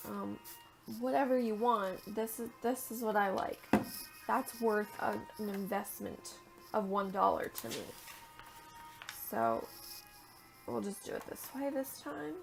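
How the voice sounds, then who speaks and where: Raspy-voiced woman chatting through crafts. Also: medium pitch, unhurried, long pauses, faint music behind, poor audio. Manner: chatty, thinks aloud while working, drops to a murmur at times. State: tired at the end of a long day. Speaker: art journaling vlogger. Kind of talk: casual crafting tutorial.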